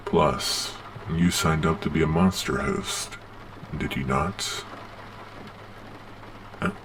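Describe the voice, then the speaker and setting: A man's voice: very deep voice, noisy background, full character voice. A man playing a weary, matter-of-fact monster agent with a businesslike manner in an ASMR roleplay. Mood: stern, brusque.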